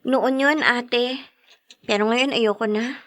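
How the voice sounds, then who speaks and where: An actress with a Filipino accent playing a pouty, defiant young student sister, whiny and snappy, in a podcast drama. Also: female voice, a little high, steady tone, slow. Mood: casual, dismissive.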